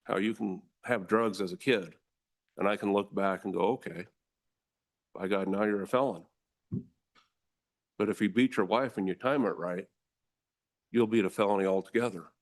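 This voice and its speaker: Deep-voiced american guy, prosecutor type, testifying to lawmakers, firm and earnest. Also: normal speed, long pauses. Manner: forceful, earnest, addresses the room as ladies and gentlemen. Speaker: district attorney testifying. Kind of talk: formal testimony to a legislative committee.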